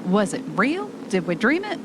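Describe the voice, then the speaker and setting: A woman's voice: medium pitch, wildly swooping pitch, normal speed. A woman acting an affectionate, easily unnerved young wife in an amateur audio drama, speaking casually and conversationally. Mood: rattled. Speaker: actor in an amateur radio drama. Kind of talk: naturalistic audio drama dialogue. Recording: noticeable background noise, amateur recording.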